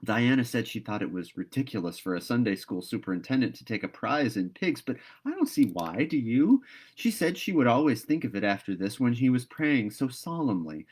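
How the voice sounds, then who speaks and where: A man's voice: mid-range voice, very animated, brisk, a little mellow, husky. A man voicing a talkative, dreamy young girl in a storybook read-aloud, earnest and gushing. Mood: earnest, chatty.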